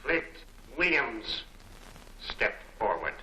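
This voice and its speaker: An actor playing a menacing, imperious male crime boss in a 1940s film serial, speaking deliberately to his henchmen. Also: mid-range voice, very animated. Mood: cold, threatening. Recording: echoey, faintly noisy 1940s film soundtrack.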